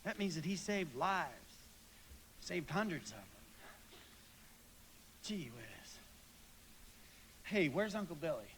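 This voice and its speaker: A man's voice: a little high, animated, takes it slowly, long pauses, fairly bright tone, slight background noise. An actor playing a frantic, unraveling small-town family man in a live radio play, stammering and repeating himself. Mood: excited, friendly.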